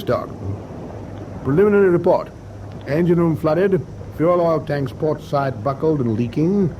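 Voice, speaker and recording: An actor playing a composed, businesslike warship captain in a radio war drama, speaking in a measured, formal way. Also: male voice, a little high, background noise, close to an everyday voice. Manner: measured, dictating signals formally. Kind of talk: full-cast radio war drama acting. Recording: clear outdoor sea ambience behind.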